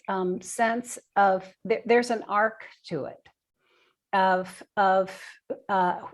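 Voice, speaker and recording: A woman gives a reflective interview answer at a very slow pace. She searches for her words, often repeating a phrase before she moves on. Her voice sits at an average pitch. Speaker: guest, nursing and bioethics professor.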